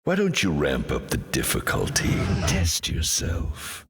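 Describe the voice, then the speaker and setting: A very low, swooping voice for a sardonic demon lord in a video game. He is urbane and theatrical, toying with the listener in mocking flourishes. The sound rings with long, cavernous reverb. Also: wildly swooping pitch, gravelly, full character voice. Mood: coaxing, grandiose. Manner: urbane, mocking, drawn-out delivery with dramatic flourishes.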